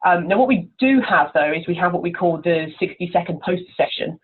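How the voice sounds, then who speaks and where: A woman's voice: lowish voice, lively intonation, fairly quick, slightly rough. A woman giving informal, encouraging interview answers as a conference chair over the telephone. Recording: narrow, tinny telephone line.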